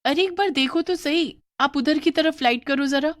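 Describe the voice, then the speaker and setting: A woman voicing a curious, scared young schoolgirl, talking high and excitedly. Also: normal speed, no room sound, studio quality, natural voice. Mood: frightened.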